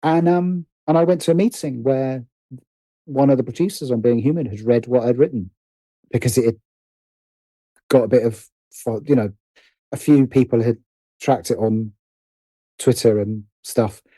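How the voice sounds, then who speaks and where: A man's voice: medium pitch, normal speed, pauses often, mellow, no echo, poor audio. A middle-aged man chatting as an interview guest, hesitant and often restarting his sentences. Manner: hesitant, self-correcting with repeated false starts. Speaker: podcast guest, writer and producer. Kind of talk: casual interview conversation.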